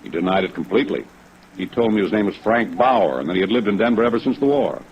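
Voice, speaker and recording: A low-voiced, earnest, insistent gentleman. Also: expressive, fast talker. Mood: certain, insistent. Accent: American. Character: earnest, insistent older businessman, courteous but uneasy. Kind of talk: 1950s radio drama acting. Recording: muffled vintage radio recording, faint noise.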